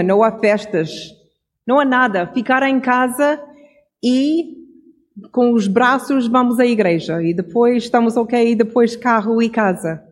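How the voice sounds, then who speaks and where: Measured devotional speaker. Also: medium pitch. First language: English. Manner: reflective, measured, with small self-corrections. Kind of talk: Bible devotional message.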